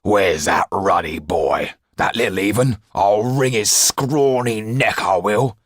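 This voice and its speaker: A man voicing a rough, bullying, grumbling English jailer in an audiobook, with coarse, threatening, slangy speech. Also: on the low side, takes it slowly, no echo, studio quality, voice pushed up. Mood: furious. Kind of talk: acted audiobook dialogue.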